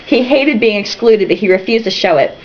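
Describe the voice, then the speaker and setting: A woman's voice: mid-range voice, a little fast, muffled recording, heavy background noise. A woman reading a novel aloud for comedy, irreverent and breaking off for snarky, sweary asides. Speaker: amateur book reader and host.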